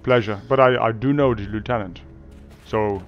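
A man's voice: lowish voice, normal speed. A man playing a streetwise character in a voice-chat roleplay, slipping "mate" into nearly every line. His accent sounds put on, and he sounds a touch dull and lo-fi over faint music. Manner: streetwise, wheedling, ends lines with "mate". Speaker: player in a roleplay server.

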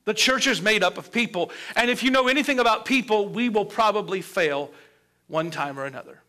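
A middle-aged pastor delivers a sermon in a fairly high voice, stepping around the pulpit and building his points with pauses. His tone is bright and forward. He was recorded by a microphone near the pulpit in a church sanctuary. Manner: preaching with steady build-ups and pauses, gesturing at a pulpit. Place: church sanctuary with a colorful children's stage set.

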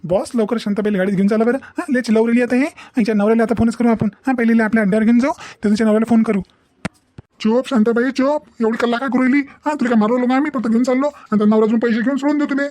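High, quick-talking scheming village crook, acted by a man. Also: fairly even intonation, darkish tone, no echo. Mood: bossy, excited. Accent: Varhadi Marathi. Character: scheming, bumbling village kidnapper, bossy and grumbling. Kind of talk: comedy cartoon voice acting.

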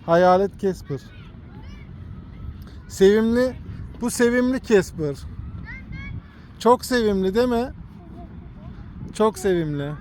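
Gentle dad coaxing a toddler outdoors. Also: male voice, high-pitched, animated, takes it slowly, pauses often, slight background noise. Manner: gently coaxing, points things out to a small child. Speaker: father filming his son. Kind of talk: casual family vlog. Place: outdoor park with cartoon statues.

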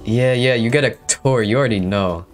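A young man narrating his game episode and chatting in voice chat, excitable and quick to repeat himself in bursts. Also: mid-range voice, faint music behind.

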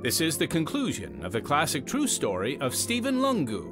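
A man's voice: a little high, huge pitch swings, moderate pace. A man hosting and narrating an audio drama podcast in a scripted storytelling style. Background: music under the voice.

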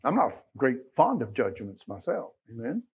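A middle-aged American man preaching a Baptist sermon, emphatic, moving between quiet asides and loud exclamations and quoting scripture. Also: mid-range voice, very animated, normal speed, husky, dull audio. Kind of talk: Sunday sermon with scripture quotations. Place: small church sanctuary, at the pulpit.

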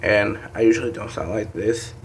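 A young man with a hoarse, sick morning voice, rambling casually to viewers in a phone vlog from his bedroom. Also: fairly low pitch, normal speed, slight background noise. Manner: rambling, filler-heavy, addresses viewers as guys. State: hoarse from being sick and just woken up. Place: dim bedroom at home, indoors. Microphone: phone held in hand.

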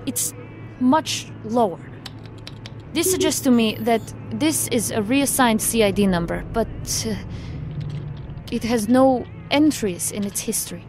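A woman acts a female investigator in a science fiction audio drama, with soft music behind her. Her voice is fairly high, and she speaks with formal, exact, analytical phrasing. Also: a little slow, close to an everyday voice. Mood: measured, analytical. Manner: precise, formal, without contractions.

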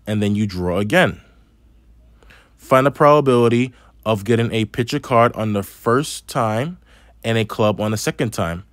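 A man's voice: on the low side, no echo. A man with a slight lisp teaching a math lesson over a tablet screen, explaining patiently step by step. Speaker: math instructor.

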